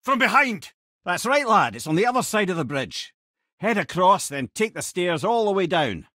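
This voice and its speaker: A garrulous old Scottish sage from a video game. His voice is husky and swings widely in pitch, close and dry. He talks with wry, eloquent wit, like a born storyteller. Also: big pitch swings, normal speed, bright, forceful tone, no echo, heavily acted. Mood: urgent, lively. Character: witty, garrulous, wise severed-head companion, older man.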